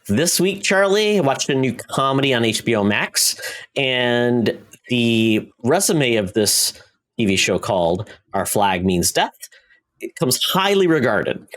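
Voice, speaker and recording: A man co-hosting a podcast, talking in a casual, conversational style. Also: mid-range voice. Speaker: podcast co-host. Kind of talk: casual chat.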